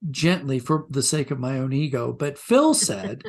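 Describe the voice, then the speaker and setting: A man's voice: mid-range voice, very animated, normal speed, a little mellow. An older man with an American accent telling anecdotes conversationally as an interview guest, reflective and self-deprecating.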